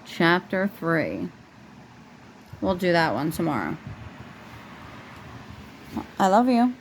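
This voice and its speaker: A woman's voice: fairly low pitch, very animated, slow, rough recording. A woman reading a children's storybook aloud at home, as a parent would at bedtime. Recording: faint background noise, home recording.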